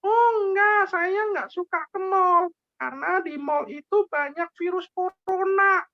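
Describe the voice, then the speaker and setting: A man voicing a small, timid lost yellow duckling in a children's story, speaking in pleading, childlike phrases. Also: very high-pitched, unhurried, highs cut off, pitched above the performer's own voice. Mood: innocent.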